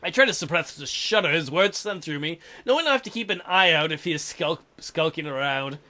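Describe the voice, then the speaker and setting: A man's voice: fairly high pitch, animated, a little fast, pushed and bright. A man doing a let's play of a visual novel, reading it out and throwing in irreverent, crude wisecracks. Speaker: let's player.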